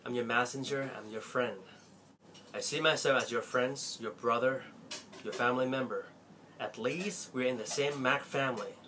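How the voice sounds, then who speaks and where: A man's voice: mid-range voice, moderate pace, slight background noise. A young Thai man with a Thai accent, an Apple store salesperson speaking earnestly and pleadingly to camera from a small bedroom. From Thailand. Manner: heartfelt, pleading, expressive swings of loudness. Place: small cluttered bedroom indoors.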